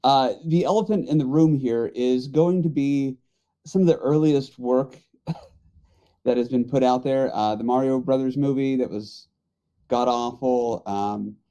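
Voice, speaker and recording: A man's voice: mid-range voice, unhurried, dark tone, clean tone, lo-fi sound. A man talking casually as a podcast panelist, with a wry, joking manner. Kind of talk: casual chat.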